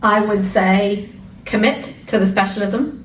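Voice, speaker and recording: A middle-aged Irish woman, an arbitration lawyer answering interview questions in a measured, articulate way with the odd repeated word. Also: mid-range voice, steady tone. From Ireland. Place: residing in London. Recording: roomy sound, distant mic, faint noise.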